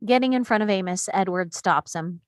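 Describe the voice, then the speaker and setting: A woman reading the action lines of a screenplay at a table read, in a steady, descriptive way. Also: mid-range voice, fairly quick, no echo, studio quality. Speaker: reader of stage directions.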